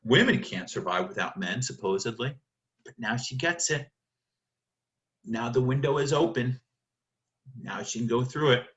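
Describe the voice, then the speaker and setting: Male literature lecturer. Sharp, bright delivery, emphatic and theatrical, asks and answers his own questions. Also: medium pitch, moderate pace, pauses often, highs cut off. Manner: emphatic, dramatic, rhetorical questions answered by himself.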